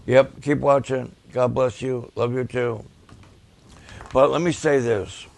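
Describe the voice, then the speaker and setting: Male pastor, raspy and gravelly, unhurried, deliberate preaching delivery. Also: mid-range voice, steady tone, a little mellow. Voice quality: raspy at times. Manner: slow, deliberate, with emphatic repetitions. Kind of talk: preaching and promoting ministry videos to a livestream audience.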